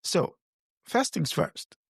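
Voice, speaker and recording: A man hosting a podcast, introducing and questioning his guest in measured, formal phrasing. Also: dry recording, studio quality. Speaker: podcast co-host.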